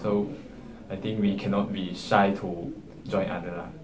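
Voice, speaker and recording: Young malaysian man, lower voice, halting, echoey room. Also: flat delivery. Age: young adult. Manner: halting, clipped restarts. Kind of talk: student group reflection discussion. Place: indoor meeting room at a table. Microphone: far camera. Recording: echoey room with background noise.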